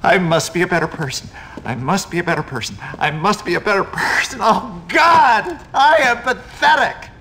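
Casting: anguished middle-aged teacher, video game, swinging wildly in pitch, sharp and stammering. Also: male voice, expressive, normal speed, slight background noise. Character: neurotic, self-loathing, broken-down male teacher.

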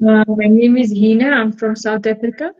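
A middle-aged woman from India, a caller on a live video chat, talking haltingly with many fillers. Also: a little high, steady tone, a little mellow, highs cut off. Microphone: phone camera in a video call.

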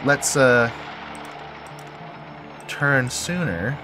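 A man with a head cold talks through his building with a stuffy, nasal voice, his pitch rising and falling a lot. He thinks aloud, chuckles and corrects himself as he goes, with clear game music running under him. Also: lively intonation, very slow. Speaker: game video creator. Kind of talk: casual gameplay commentary.

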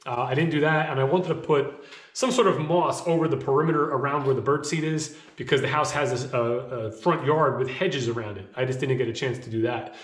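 A man's voice: mid-range voice, brisk. Man chatting to camera in an echoey room. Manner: conversational, rambling with fillers and restarts. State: slightly hoarse with a cough. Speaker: vlogger. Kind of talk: casual talk to camera. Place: small home office, indoors.

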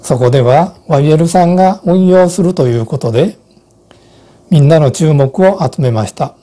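A man in his sixties giving measured, explanatory narration from a script as an instructor. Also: a little high, dark tone.

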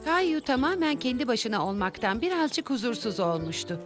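A woman narrating a dubbed children's cartoon in a calm, storytelling way. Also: fairly high pitch, a little fast. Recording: with background music.

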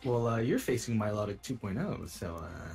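A man chatting casually with friends during a video game, often halting and muttering through his sentences. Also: lowish voice, big pitch swings, a little mellow, slightly rough. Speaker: online video game player. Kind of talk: casual gaming banter. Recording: game music behind the voice.

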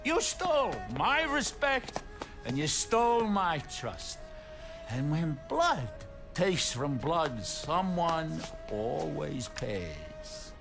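Volatile, high-voiced New mob boss. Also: male voice, sing-song, gravelly, music in the background, exaggerated voice. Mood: cold menace. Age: middle-aged. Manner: volatile, menacing, deliberate. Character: vengeful middle-aged male mob boss, wounded and brutal. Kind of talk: video game voice acting.

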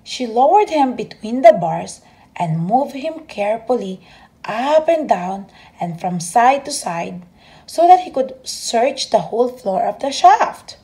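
A woman reading a children's bedtime story aloud as an expressive storyteller. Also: fairly high pitch, a little slow.

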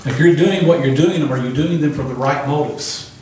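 A man's voice: on the high side, moderate pace, darkish tone. An older man delivers an emphatic sermon through a handheld mic, often calling for an amen. The small hall echoes heavily, and the voice is picked up from a distance with faint background hiss. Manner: emphatic preaching with call-and-response amens. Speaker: pastor. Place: small church hall, indoors. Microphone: handheld microphone. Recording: echoey room from a distant camera.

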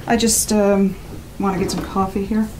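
An actress in an audio drama playing a curt, stressed, critical rental guest, speaking in a blunt, casual and dismissive way. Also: female voice, medium pitch, little pitch movement, moderate pace, darkish tone, slight background noise, close to an everyday voice, poor audio. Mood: mildly complaining. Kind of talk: naturalistic audio drama acting.

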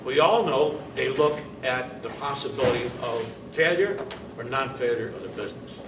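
Indignant older man at a press conference. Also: mid-range voice. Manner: emphatic, indignant, deliberate with pauses. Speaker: managing member of the facility's owners. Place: indoor meeting room. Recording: roomy, distant, noisy camera audio.

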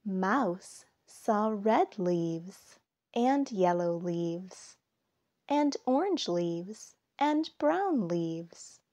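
Slow, lively woman reading to children. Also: mid-range voice. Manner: slow, deliberate phrasing with expressive emphasis. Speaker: storybook reader. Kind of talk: children's picture book read-aloud.

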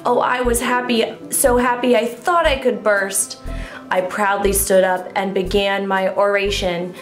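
A woman reading a rhyming children's book aloud in an animated storytelling style. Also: medium pitch, a little slow. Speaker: storybook reader. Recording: echoey room with music underneath. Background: music.

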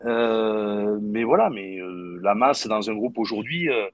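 A middle-aged man speaks over a video call from his home, his low voice stopping and restarting around fillers and repeated words. The sound is dry and close, with no room behind it. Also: animated, unhurried, no echo, muffled recording. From southwest France. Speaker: guest, former footballer. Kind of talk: casual video-call discussion. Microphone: video call webcam.

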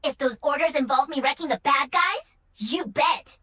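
An actress playing a cocky, playful young Korean mech pilot and gamer, cheeky and teasing. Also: female voice, high voice, moderate pace, pushed and bright. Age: young adult.

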